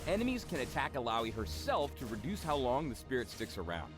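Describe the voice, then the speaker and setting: A man's voice: medium pitch, big pitch swings, fairly quick, music in the background. A man reading scripted gameplay spotlight narration in a playful, slangy, wry way. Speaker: game video narrator.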